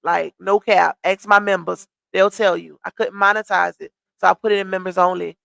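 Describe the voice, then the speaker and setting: A woman's voice: on the low side, steady tone, normal speed, vocal fry, a bit bright, no room sound. Chatty woman hosting a gossip live stream. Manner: chatty, reads out comments and greets viewers. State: losing her voice.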